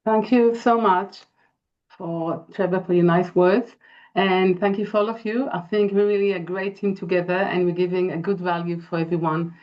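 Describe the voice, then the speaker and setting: Israeli woman with a low, dull-sounding voice. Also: fairly even intonation. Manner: earnest, halting, many fillers. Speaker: interior designer presenting. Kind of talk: webinar presentation. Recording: dull lo-fi video call audio.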